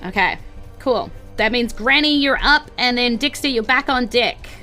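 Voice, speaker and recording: A woman's voice: fairly high pitch, normal speed, pushed and bright. A woman narrating a tabletop role-playing game as game master in a casual, chatty style. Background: faint background music.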